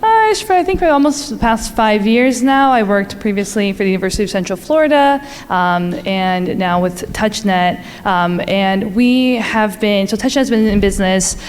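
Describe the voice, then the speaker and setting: Upbeat young woman with a smooth voice. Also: medium pitch, expressive, moderate pace, few pauses. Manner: upbeat, casual. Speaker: vendor presenter. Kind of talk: conference presentation. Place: large conference hall. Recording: hall reverb.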